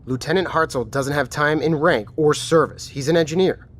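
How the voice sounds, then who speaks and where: A man's voice: on the high side, moderate pace, fairly bright tone. A man playing a grave, controlled commanding lieutenant in an audio drama, speaking tersely and with authority. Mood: controlled, skeptical.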